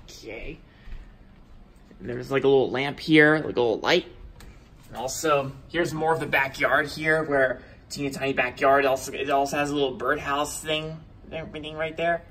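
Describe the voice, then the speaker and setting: A man's voice: mid-range voice, steady tone, normal speed, fairly bright tone. A young man filming a casual tour of his new house on a handheld phone, walking room to room and talking in a rambling way, with many repeated words and restarts. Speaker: vlogger giving a house tour. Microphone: handheld phone camera held by the speaker, off screen.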